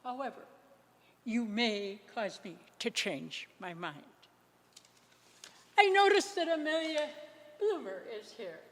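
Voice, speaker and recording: A woman in a readers theater playing a prim, skeptical 1840s lady, speaking formally and deliberately. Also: on the high side, animated, big-room sound. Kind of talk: staged readers theater performance.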